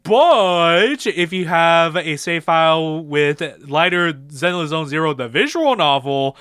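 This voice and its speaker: A man's voice: a little high, expressive, takes it slowly, a bit bright, clean tone, no echo, clean studio sound. A man casually improvising a story in a slangy, playful, conversational way. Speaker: content creator.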